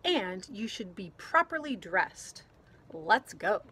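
A woman's voice: medium pitch, big pitch swings, takes it slowly, bright, forceful tone, exaggerated voice. Woman reading as kindly old grandpa, noisy outdoors. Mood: reassuring. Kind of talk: picture book read-aloud. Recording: clear outdoor background noise.

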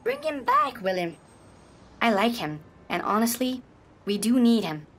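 A woman playing the gentle, motherly good side of a young man's mind, who speaks to him with affection and soothing words. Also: fairly high pitch, wildly swooping pitch, measured pace, exaggerated voice. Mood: urging. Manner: soothing, affectionate, calls others darling.

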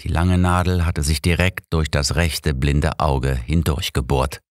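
A man's voice: very deep voice, fairly even intonation, measured pace, no room sound, clean studio sound. A man reading scripted crime fiction as an audiobook narrator.

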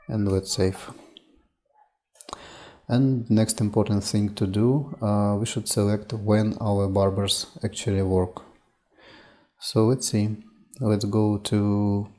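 Slow, flat-voiced man walking through software. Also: fairly low pitch. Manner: unhurried, stepwise, with frequent "uh" and "um". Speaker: software demo presenter. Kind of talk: screen-recorded software tutorial.

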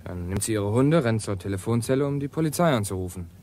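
An actor playing a dutiful, deferential junior police officer in a German radio crime drama, brisk and matter-of-fact. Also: male voice, on the low side, animated, mellow, slight background noise, natural voice.

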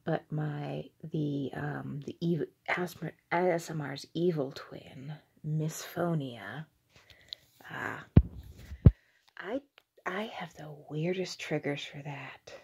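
Slow, breathy whispering young woman. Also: low-pitched. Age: young adult. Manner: slow, hesitant, halting. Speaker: ASMR video creator. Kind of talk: whispered ASMR monologue. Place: small indoor room with curtains.

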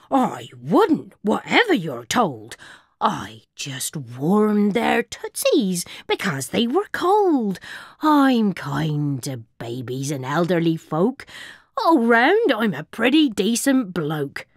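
Gravelly, blustering pirate captain, played by a woman. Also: mid-range voice, huge pitch swings, takes it slowly, dry recording, clean studio sound, heavily acted. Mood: feigned innocence. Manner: blustering, theatrical. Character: gravel-voiced boastful male pirate captain, slyly innocent.

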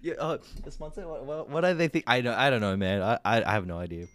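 A man's voice: medium pitch, fast, fairly bright tone, dry recording. A young Australian man streaming from a small room into a desk mic, reacting casually to music videos with a lot of laughing and repeated, rambling words.

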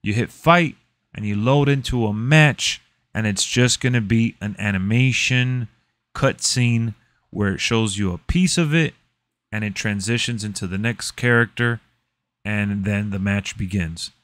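A man's voice: lowish voice, a little slow, a bit bright, no echo. A man in his thirties talks to viewers in a casual, emphatic way, like a host reacting to gaming news. He leans on his points conversationally. The sound is close and dry, with very little room in it. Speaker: gaming and wrestling video host. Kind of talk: casual reaction commentary to camera.

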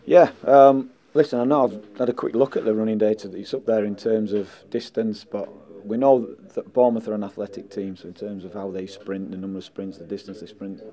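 A middle-aged man and football manager answering post-match questions indoors, measured and blunt, often saying "listen" and "um" into handheld mics. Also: fairly low pitch, fairly even intonation, dark tone. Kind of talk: post-match press interview. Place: indoors in front of a sponsor board. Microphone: handheld mics held close.

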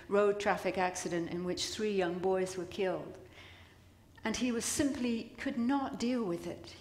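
An older woman priest giving a sermon from a church pulpit, with measured, dramatic delivery and deliberate pauses. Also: mid-range voice. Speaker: priest preaching. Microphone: gooseneck lectern microphones. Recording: echoey church with long reverb.

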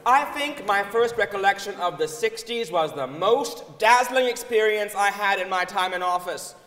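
An amused woman college warden played by a young man recalls her days in office. The voice is high and theatrical, carrying through an echoing hall from a stage. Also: lively intonation, moderate pace, a bit bright. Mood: amused, animated. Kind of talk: dramatic reading on stage. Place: large indoor concert hall stage. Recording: reverberant concert hall stage recording.

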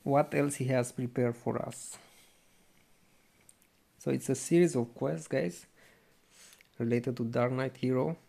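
A man giving casual, low-key gameplay commentary as he plays, in an unhurried way. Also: vocal fry, mellow. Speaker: gaming video creator. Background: faint game music.

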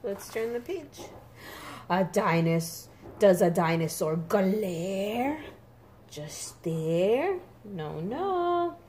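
A woman reading a picture book aloud to young children in an expressive, sing-song, emphatic way. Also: medium pitch, extremely slow, slight background noise. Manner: animated, emphatic, sing-song with repeated words for small children.

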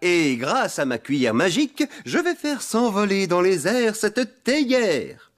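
Theatrical, swooping young stage magician. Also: male voice, high-pitched, sing-song, unhurried. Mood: boastful. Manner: theatrical, grandiose. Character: showy, bumbling amateur magician farmhand, cheerful young man. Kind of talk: children's cartoon dubbing. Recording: music behind the voice.

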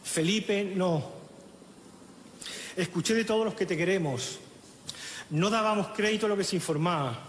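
A man's voice: sits high, a little hiss or hum. Solemn Chilean man giving a eulogy. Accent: Chilean Spanish. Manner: solemn, measured, with halting restarts. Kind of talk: funeral eulogy, read aloud. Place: outdoor funeral gathering. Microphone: lectern microphone. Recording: echoey, reverberant outdoor sound with faint ambience.

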